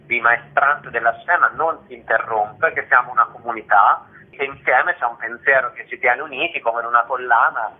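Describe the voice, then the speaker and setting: A man talks over a thin, telephone-like connection in a live radio interview. His voice sits at an average pitch. He explains things discursively, piling up examples and repeating himself as he goes. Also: moderate pace, husky. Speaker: theatre festival organiser being interviewed. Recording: tinny phone line on radio.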